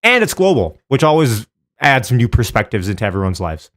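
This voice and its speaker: A man's voice: mid-range voice, big pitch swings, brisk, a bit bright. A man hosting a live stream, chatting informally with viewers by name and giving casual commentary.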